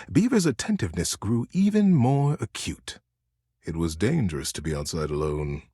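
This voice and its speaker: Expressive man narrating a storybook. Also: medium pitch, breathy edge, no echo, clean studio sound. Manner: tender, theatrical storytelling. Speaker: voice actor narrating bedtime stories in a game.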